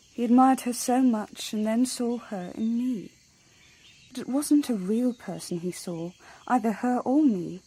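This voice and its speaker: An actress playing a cool, self-possessed young woman in a radio drama, with a hushed, candid manner. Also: on the high side, slightly rough. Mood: rueful. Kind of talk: intimate radio drama acting.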